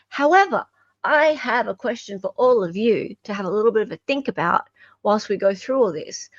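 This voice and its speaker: An Australian woman hosting a casual live commentary, chatting with dramatic pauses, emphasis and rhetorical questions. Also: a little high, dry recording, highs cut off. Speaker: livestream host commentator.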